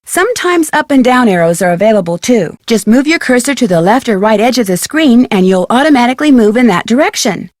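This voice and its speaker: A woman voicing a bright, inquisitive young American amateur detective in a video game, speaking in a clear, articulate, friendly way. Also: a little high, lively intonation, a little fast, few pauses, dry recording, clean studio sound, natural voice. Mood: upbeat, instructive. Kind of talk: video game voice acting, scripted narration.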